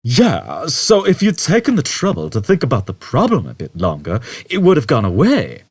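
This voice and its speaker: A man's voice: medium pitch, wildly swooping pitch, normal speed, a little mellow, slightly rough, dry recording, pitched above the performer's own voice, heavily acted. A man voicing a conceited, irritable male space fugitive in an audiobook, with a complaining, emphatic manner. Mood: evasive.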